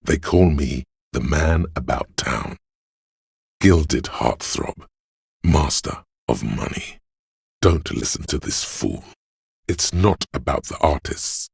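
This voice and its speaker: A man playing a swaggering, money-obsessed, suave inner voice in a video game, gravelly and husky, with a cocky, theatrical delivery. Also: rumbling low, slow pace, no echo, clean studio sound, exaggerated voice. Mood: smug, scornful. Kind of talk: video game voice acting, dramatic narration.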